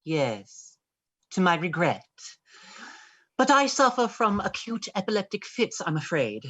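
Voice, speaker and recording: Woman playing a husky, sickly, scheming young man. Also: mid-range voice, sing-song, measured pace. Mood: oily flattery. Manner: oily, insinuating, falsely courteous. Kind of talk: dramatized stage play reading.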